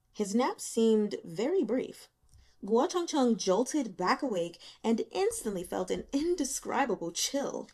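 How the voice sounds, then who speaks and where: A woman's voice: mid-range voice, a little mellow. Woman narrating an audiobook. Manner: acts out the dialogue within narration. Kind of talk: expressive scripted narration of a novel.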